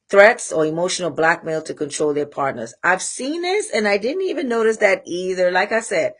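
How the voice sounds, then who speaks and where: A woman with a Trinidadian accent hosting a live talk show, speaking candidly and rambling with pauses to find her thread. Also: lowish voice. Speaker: host. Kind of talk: casual livestream monologue.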